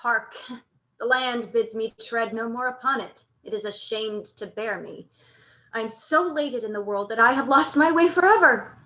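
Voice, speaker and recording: A proud, lovesick general played by a woman declaims in Shakespearean style for a radio play. The voice is high and forceful, full of passion. The audio is slightly dull and lo-fi. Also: normal speed. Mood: anguished, ashamed. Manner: declamatory, impassioned.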